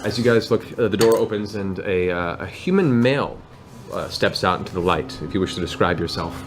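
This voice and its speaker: A man acting as game master, narrating a tabletop fantasy game in vivid, halting description. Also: low-pitched, music in the background.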